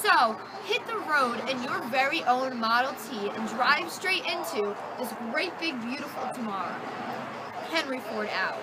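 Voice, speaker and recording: A young woman playing a chipper male car salesman in an amateur skit sales pitch. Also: lively intonation, measured pace. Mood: upbeat pitch. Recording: echoey room, faint noise.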